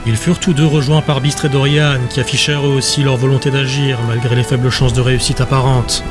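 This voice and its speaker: A man's voice: medium pitch, little pitch movement, fast talker, music in the background. A man giving scripted narration for a fantasy audiobook.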